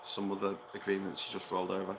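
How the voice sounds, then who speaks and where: A man co-hosting a casual livestream chat outdoors, blunt and quick to swear. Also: low-pitched, monotone, creaky, a little hiss or hum. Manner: blunt, swearing, laughing asides. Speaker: co-host, island owner. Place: outdoors on an island, sitting on cardboard.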